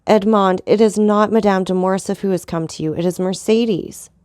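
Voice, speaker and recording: A woman's voice: medium pitch, fairly even intonation, normal speed, a little mellow, no echo, clean studio sound, natural voice. A woman reading an audiobook voices a noblewoman in despair, pleading anguished for her son's life.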